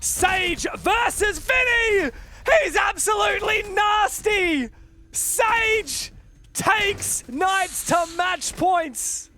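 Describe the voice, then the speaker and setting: A man's voice: extremely high pitch, animated, drawn-out words, bright, forceful tone, shouting. A man commentating live on an esports match, with dramatic, wordy phrasing. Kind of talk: live play-by-play game commentary.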